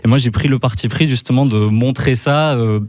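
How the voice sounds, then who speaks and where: A man's voice: mid-range voice, fairly quick, dry recording. Male guest, easygoing and talkative, mid voice, dry studio mic. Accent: French. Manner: relaxed, chatty, many fillers and laughs. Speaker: musician guest. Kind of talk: casual radio interview. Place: radio studio.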